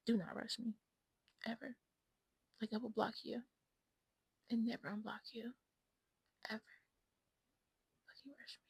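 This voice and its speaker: A sassy woman of twenty-five, chatting casually to camera on a new mic and slipping between breathy whispers and loud asides. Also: mid-range voice, dry recording, poor audio. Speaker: vlogger. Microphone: new desk mic.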